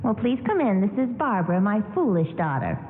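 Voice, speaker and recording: A woman's voice: medium pitch, big pitch swings, fairly quick, noisy background, natural voice. An actress playing a welcoming, fussing middle-aged mother and aunt in a 1940s-style radio drama. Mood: welcoming, bustling. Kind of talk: 1940s radio drama acting before a live audience. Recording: echoey hall, tinny radio broadcast.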